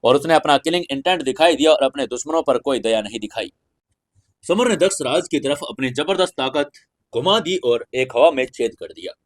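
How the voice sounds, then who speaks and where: An Indian man reads a scripted fantasy audio story in a theatrical, deliberate storytelling manner. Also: medium pitch, expressive, no room sound. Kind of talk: dramatic scripted fantasy narration.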